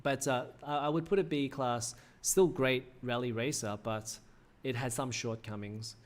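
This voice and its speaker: A man's voice: mid-range voice, a little slow. A man in his thirties hosting a casual gaming livestream, rambling with many fillers and restarts, his voice a little worn. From Australia. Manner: rambling, self-correcting, frequent "uh" fillers. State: slightly losing his voice. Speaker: livestream host.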